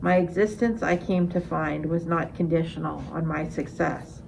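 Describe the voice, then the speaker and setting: A woman's voice: low voice, steady tone, normal speed, gravelly, darkish tone, a little hiss or hum, low-quality recording. A middle-aged woman, an amateur poet, reading a confessional poem aloud.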